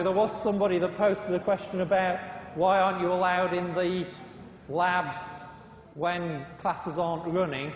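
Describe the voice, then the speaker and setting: A man lecturing university students in a brisk, informal and reassuring way. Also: sits high, slight background noise. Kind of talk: classroom lecture. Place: large lecture hall. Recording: echoey hall reverb.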